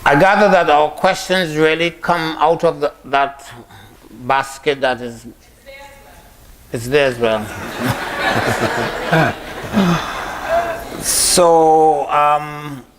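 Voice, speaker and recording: A man's voice: a little high, drawn-out words, long pauses, noisy background. A man chairing a question session, courteous and lightly joking. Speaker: moderator.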